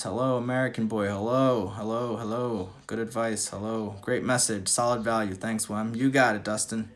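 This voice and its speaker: A man answering viewer questions on a casual livestream, talking in a loose, swaggering way. His voice sits in an ordinary middle pitch. He coughs now and then, swears freely and speaks like a dating coach to his followers. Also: a bit bright. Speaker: dating coach hosting a live Q&A. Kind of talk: casual livestream chat reading viewer comments.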